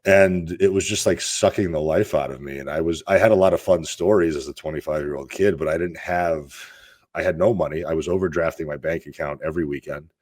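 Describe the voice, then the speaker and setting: A man's voice: extremely low pitch, a little fast, a little mellow. A man in his thirties from Russia, a fitness coach telling candid, rambling stories as a podcast guest. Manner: candid, rambling storyteller with restarts. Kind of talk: casual interview talk.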